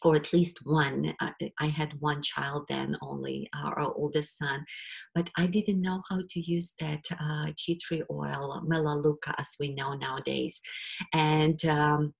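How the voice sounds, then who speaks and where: Middle-aged Ukrainian-accented woman, webinar presenter, low voice, unhurried, hesitant with many fillers. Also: little pitch movement, gravelly.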